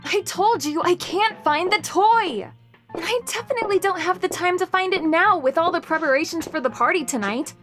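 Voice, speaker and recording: A high-strung woman with a very high voice. She is intense, argumentative and exacting, a perfectionist in an audio dramedy. Light music sits behind her. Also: normal speed, a bit bright. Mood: exasperated. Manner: intense, demanding, indignant.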